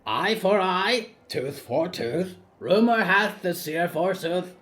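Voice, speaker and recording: A male narrator voicing a curious, gossipy raven-demon that croaks and talks about itself in the third person. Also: high voice, animated, slow, fairly bright tone, voice pushed up, exaggerated voice. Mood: insistent. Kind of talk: audiobook character voice.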